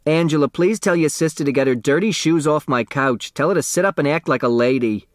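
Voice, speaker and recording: Man voicing a fussy, scornful woman, pitched up. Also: brisk, dry recording, studio quality, close to an everyday voice. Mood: indignant. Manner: haughty, indignant.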